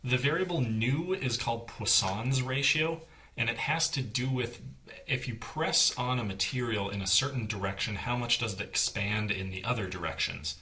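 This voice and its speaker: A man's voice: fairly low pitch, bright, forceful tone. A man giving an explanatory technical lecture as an engineering instructor, explaining things plainly and step by step.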